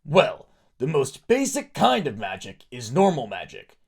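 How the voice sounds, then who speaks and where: A man voicing a solitary male warrior mentor in an audio drama, grave and measured, with a gravelly voice. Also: medium pitch, huge pitch swings, no room sound, heavily acted. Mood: instructive.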